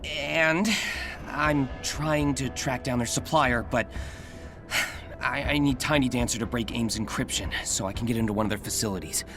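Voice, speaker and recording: A man's voice: mid-range voice, moderate pace, close to an everyday voice. An American man playing an upbeat, wisecracking, nervy young superhero in a video game, chatty and rambling. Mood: earnest. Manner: chatty, rambling, self-correcting, jokey. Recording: game music behind the voice.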